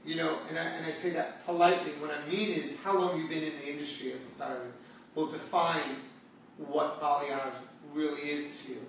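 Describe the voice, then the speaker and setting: A man's voice: a little high, fairly even intonation, a little fast, creaky. A middle-aged man hosting a conversational, educational salon talk, addressing viewers emphatically and repeating phrases to make his point. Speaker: salon talk show host, hair stylist. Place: indoor hair salon seating area. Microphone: far camera. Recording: distant mic in echoey room, background hiss.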